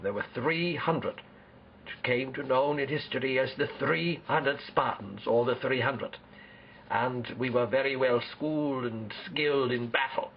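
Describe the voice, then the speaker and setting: Man playing a proud, gravelly Spartan warrior king. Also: medium pitch, measured pace, muffled recording, slight background noise, lightly acted. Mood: solemn. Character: proud, solemn ancient Spartan warrior king being interviewed. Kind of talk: amateur historical role-play interview.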